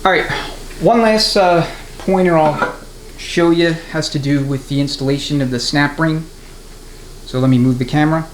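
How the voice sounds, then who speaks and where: A man's voice: a little high, moderate pace. A man giving an unscripted, hands-on mechanical tutorial, explaining each step methodically in plain-spoken terms. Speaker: transmission mechanic giving a how-to demonstration. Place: indoors at a transmission workbench. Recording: roomy echo, clear background noise.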